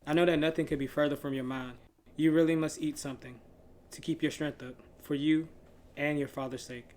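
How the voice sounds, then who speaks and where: A man voicing an earnest, protective young knight in a dark fairy tale, admonishing and exasperated. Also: a little high, steady tone, a little fast, voice pushed up, lightly acted. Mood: concerned, pleading.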